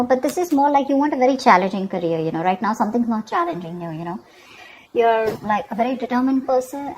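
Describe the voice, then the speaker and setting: A woman with a fairly high voice talks straight to the listener as she reads tarot cards. Her delivery is unscripted and conversational, dotted with small check-ins. The recording has clear background noise. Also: darkish tone, low-quality recording. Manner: conversational, often checking in with okay and you know. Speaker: tarot reader and channel host. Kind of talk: improvised tarot reading addressed to the viewer.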